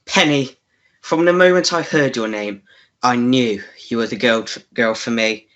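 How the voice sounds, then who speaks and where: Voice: mid-range voice, a little slow, a bit bright, natural voice. An actor playing an oily, pushy male villain wooing in a melodramatic radio play, smarmy and domineering. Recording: dull lo-fi recording.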